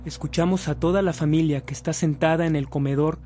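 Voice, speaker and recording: A man's voice: fairly high pitch, steady tone, a little fast, mellow, faint music behind, close to an everyday voice. A man acting as the storytelling narrator of a scripted radio drama. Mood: solemn storytelling. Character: storytelling narrator of a saint's life.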